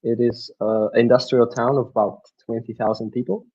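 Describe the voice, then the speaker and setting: A young man from the Czech Republic with a Czech accent, a native Czech speaker, answering interview questions remotely in a halting way. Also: medium pitch, level tone, mellow, slightly rough, lo-fi sound. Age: young adult. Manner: halting, with restarts and fillers. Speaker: guest, mindset coach.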